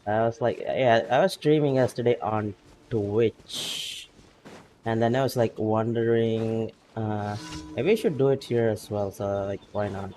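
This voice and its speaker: A man's voice: on the low side, unhurried, warm tone, a little hiss or hum. A man streaming a video game, chatting casually to his viewers and laughing often as he plays. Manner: laid-back, chatty, laughs often, greets chat by name. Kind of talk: casual gameplay stream chat.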